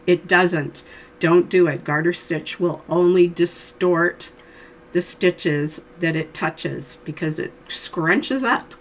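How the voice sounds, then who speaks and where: A woman with a hoarse voice from a cold, teaching knitting in a chatty, friendly how-to tutorial, with little laughs. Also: fairly low pitch, slow.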